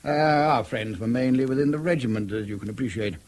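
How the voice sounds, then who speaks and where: An actor playing an elderly, courteous retired army colonel with an upper-class English accent and old-fashioned military phrasing in a radio drama. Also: male voice, medium pitch, fast, a little mellow, close to an everyday voice. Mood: courteous, puzzled. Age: older.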